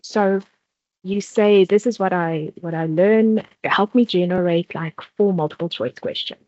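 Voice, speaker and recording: A woman's voice: on the low side, unhurried, a little mellow, no room sound. A South African woman from the Free State leading a university exam-preparation workshop, explaining step by step and often restarting phrases with "um". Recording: dull, dry lo-fi sound.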